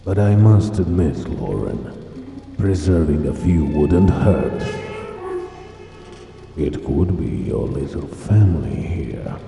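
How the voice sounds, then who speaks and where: Sci-fi villain major: gravelly, slow, taunting menace, recorded distant in a roomy, reverberant space. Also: male voice, extremely low pitch, lively intonation, warm tone, soft background music, full character voice. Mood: smug, threatening. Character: menacing, taunting military major, a hardline adult man. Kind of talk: cinematic sci-fi drama acting. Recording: roomy, distant, long reverb, faint noise.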